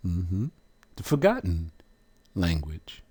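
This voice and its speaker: A man giving a slow, emphatic religious teaching, stressing single words with long pauses between them. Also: low-pitched, wildly swooping pitch, dark tone, breathy edge.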